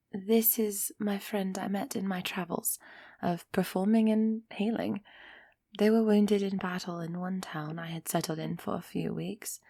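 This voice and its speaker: A woman's voice: medium pitch, vocal fry, dark tone, no room sound, studio quality. A woman playing a young runaway elf heiress in an intimate roleplay audio drama, defiant yet anxious and affectionate, often sighing and sinking into whispers. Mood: warm, explaining. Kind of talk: roleplay ASMR audio drama, close and breathy.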